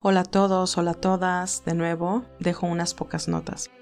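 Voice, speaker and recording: A woman's voice: lowish voice, flat delivery, moderate pace, darkish tone, faint music behind. A woman narrating her own fan fiction in a scripted storytelling style.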